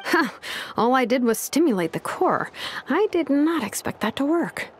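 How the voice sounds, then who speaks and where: A woman's voice: sits high, very animated, normal speed, slight background noise. A woman voicing an easygoing, curious young female tinkerer in a video game, musing aloud in a casual, breezy way. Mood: casual, intrigued. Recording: game music under the voice. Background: background music.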